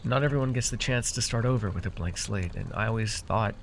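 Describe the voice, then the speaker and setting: A man's voice: fairly low pitch, brisk, slight background noise, close to an everyday voice. A man playing a proud, easily exasperated magical herbalist in a fantasy roleplay, talking straight to the listener with theatrical airs and sheepish, stammering asides. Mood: pensive, confiding. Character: proud, easily exasperated young magical herbalist who gave away his name.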